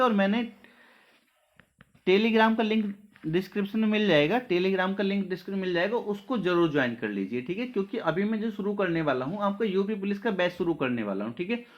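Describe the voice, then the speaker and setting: Guy teaching online, pretty high voice, earnest n reassuring, keeps checking in, muffled lo-fi sound. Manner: repeatedly checks in with short tag questions. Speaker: online exam-coaching teacher. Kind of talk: informal address to students. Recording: dull, lo-fi audio.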